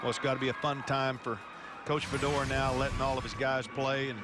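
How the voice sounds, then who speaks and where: A man's voice: mid-range voice, level tone, brisk, a loud crowd. A man giving folksy, conversational color commentary on a live football game. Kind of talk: live sports analysis commentary. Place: football stadium broadcast position. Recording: loud stadium noise behind the voice. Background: loud stadium ambience.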